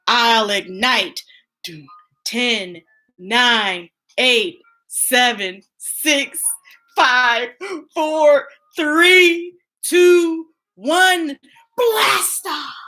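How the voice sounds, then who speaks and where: Very high, animated woman reading to children. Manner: animated, gushing, playful. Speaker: story time host reading picture books aloud. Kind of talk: expressive children's read-aloud with chatty asides to viewers.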